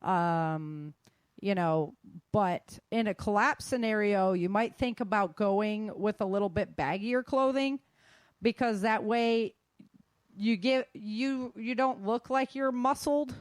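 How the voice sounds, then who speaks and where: A woman's voice: medium pitch, slow pace, no room sound. Casual female host, dry close sound. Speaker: host of a self-defense and survival show. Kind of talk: casual spoken advice to listeners.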